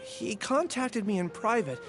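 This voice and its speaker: An actor playing a nervous, guilty researcher in a video game, hesitant and stammering. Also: male voice, high-pitched, big pitch swings, measured pace, over music, heavily acted. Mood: sheepish.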